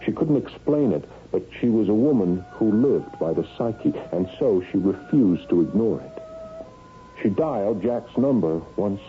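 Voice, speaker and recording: A man's voice: fairly low pitch, very animated, a little slow, phone-quality sound. An actor playing a meek, nervous, suspicious husband in a radio drama, telling his own story and hesitant in speech. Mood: suspicious, brooding. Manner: stammering, hesitant when addressed. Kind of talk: old-time radio drama acting, first-person narration. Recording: band-limited radio broadcast with music behind.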